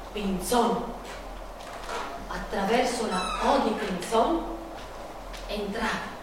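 An actress playing a dreamy woman painter who recalls her childhood in a slow, wistful theatrical monologue. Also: high voice, slight background noise, heavily acted. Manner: slow, wistful storytelling with expressive pauses. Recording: distant echoey hall with guitar music. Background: guitar music.

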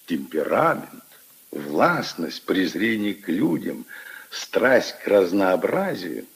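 A man's voice: low-pitched, sing-song, slow, vocal fry, husky, full character voice. An older man playing a kindly, opinionated old grandfather who muses wistfully and emphatically in a 1950s radio drama. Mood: scornful, wry. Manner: ruminative, emphatic, intimate storytelling to his granddaughter. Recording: 1950s radio play recording.

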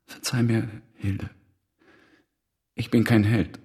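Slow, low-voiced melancholy teacher Friedrich. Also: male voice, flat delivery, mellow, husky, exaggerated voice. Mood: stunned grief. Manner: halting, brooding, introspective. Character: Friedrich, melancholy, wounded-hearted German male teacher. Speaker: actor in a radio drama. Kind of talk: literary radio drama acting.

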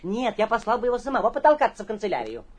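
A woman playing a vain, boastful young gentleman from the capital in a radio play, affected and self-important, with a husky voice. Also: a little high, sing-song, rapid-fire. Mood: indignant. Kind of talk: theatrical radio drama acting.

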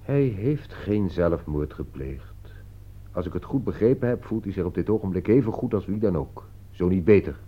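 A man's voice: sits low, lively intonation, normal speed. A man acting in a Dutch radio play as a shrewd, composed author who reasons like a detective, with pointed questions. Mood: triumphant, deliberate. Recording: dull lo-fi radio play recording.

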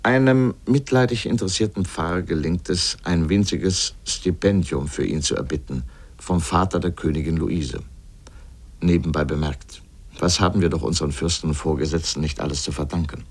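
Male radio essay narrator: deep register, scripted literary commentary, sardonic and pointed. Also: normal speed, slightly rough.

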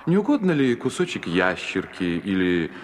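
A man's voice: deep voice, wildly swooping pitch, moderate pace, a little hiss or hum. A man playing a gallant, self-pleased young male stork in a fairy-tale audio play, flowery and pompously courteous.

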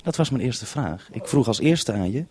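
A man presenting over a two-way radio link, talking in a measured, procedural way. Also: medium pitch, animated, crowd noise.

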